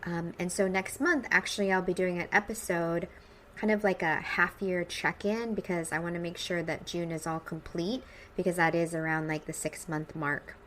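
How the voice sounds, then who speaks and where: Woman, 40s, American accent, lower voice. Upbeat, informal podcast host; some background noise. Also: fairly even intonation, normal speed, fairly bright tone. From United States, suburban Midwest. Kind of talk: personal podcast monologue.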